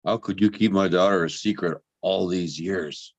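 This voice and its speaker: A man's voice: low-pitched, moderate pace, no room sound. A man acting a firm, composed, impatient man in a drama script read. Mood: accusing. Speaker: voice actor in a table read.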